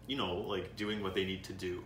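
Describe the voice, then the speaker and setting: A man's voice: low-pitched, level tone, brisk, a bit bright, a little hiss or hum. A young man hosting a casual chat, supportive and jokey, backing up his guest with frequent yeahs. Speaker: host. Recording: echoey room, mic at a distance, faint ambience.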